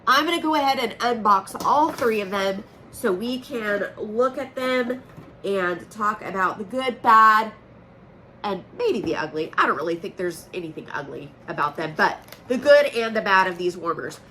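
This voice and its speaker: Bright-voiced chatty woman with allergies. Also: medium pitch, expressive, normal speed, slight background noise, poor audio. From Oklahoma, USA. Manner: chatty, upbeat, folksy. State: congested from allergies. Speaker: direct-sales consultant and channel host. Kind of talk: casual product unboxing vlog. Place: home room with a noisy air conditioner.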